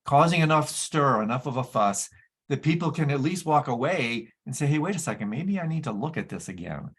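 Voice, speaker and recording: A man's voice: mid-range voice, expressive, fairly quick, fairly bright tone, breathy edge. A male interview host who speaks effusively and with enthusiasm about his guest's ideas. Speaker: podcast host. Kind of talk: conversational interview.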